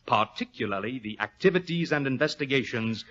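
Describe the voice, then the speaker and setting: An actor playing a sinister, gloating criminal mastermind in 1940s radio drama, suave and mock-courteous. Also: male voice, medium pitch, expressive, fairly quick, a bit bright, slight background noise, lightly acted. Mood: smug menace. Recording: dull old radio recording, music behind.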